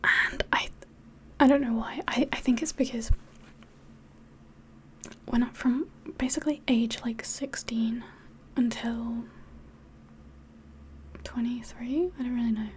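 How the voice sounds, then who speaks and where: A woman with a slightly mixed English accent whispers softly in a rambling, hesitant way, as if hosting an ASMR livestream chat. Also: on the high side, fairly even intonation, takes it slowly, long pauses, slight background noise. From England. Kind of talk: soft-spoken ASMR chat, mostly whispered.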